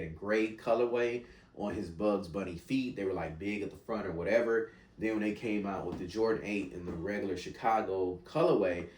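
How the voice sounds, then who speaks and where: A man's voice: low voice, echoey room, distant mic. A man in his thirties with a stuffy, congested voice, rambling casually through a sneaker review from home. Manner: rambling, relaxed and chatty. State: congested with a cold.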